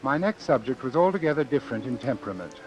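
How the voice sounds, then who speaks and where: A man's voice: mid-range voice, lively intonation, quick pace, a little mellow, natural voice, rough recording. Morbid, calculating physician, male actor: medium register, precise formal diction, imperious, vintage suspense radio. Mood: coolly reflective.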